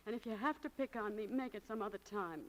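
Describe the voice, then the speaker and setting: A woman's voice: medium pitch, quick pace, natural voice, poor audio. A woman playing a proud, touchy, frayed young shopgirl in 1940s radio acting, strained and curt, on an old broadcast recording.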